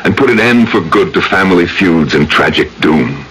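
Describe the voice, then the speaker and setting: Casting: ardent radio-play suitor, solemn, very low voice, old broadcast with faint noise. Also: male voice, expressive, normal speed, fairly bright tone, poor audio. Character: ardent, solemn young suitor proposing marriage. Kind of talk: 1970s radio drama acting.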